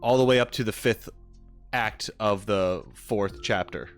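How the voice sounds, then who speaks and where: A man's voice: fairly low pitch, measured pace, smooth. A man hosting a stream, talking casually to viewers in a rambling, explanatory way and correcting himself as he goes. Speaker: streamer and reaction video host. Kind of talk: casual livestream chat to viewers. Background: music.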